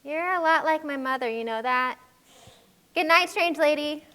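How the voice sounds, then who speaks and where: Extremely high bright voice, stubborn sassy little girl acted by a woman, puppet show, long hall reverb. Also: moderate pace. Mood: cheeky. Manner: sassy, defiant. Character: bold, cheeky, stubborn little girl adventurer. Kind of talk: children's puppet show acting.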